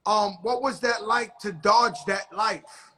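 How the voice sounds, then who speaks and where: A man's voice: high voice, takes it slowly, sharp tone. A man from the South Bronx, hosting a casual interview in blunt street slang. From South Bronx, New York. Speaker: host.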